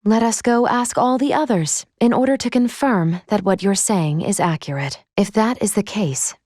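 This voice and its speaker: A polite female android from a video game, acted by a woman. She speaks in formal, precisely measured phrases at a medium pitch, analytical yet fussily caring. The sound is close and dry. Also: a little mellow, dry recording, natural voice. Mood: concerned, fussy.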